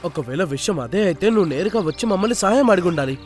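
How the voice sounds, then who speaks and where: High-pitched brave young cartoon lion. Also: male voice, animated, quick pace, soft background music. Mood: firm, demanding. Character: brave young male lion, questioning and demanding. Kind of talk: children's cartoon voice acting.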